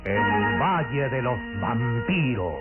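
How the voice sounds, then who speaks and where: A tinny old radio recording, very loud music underneath. A male announcer declaims titles grandly in a high voice with sweeping intonation. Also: lively intonation, takes it slowly.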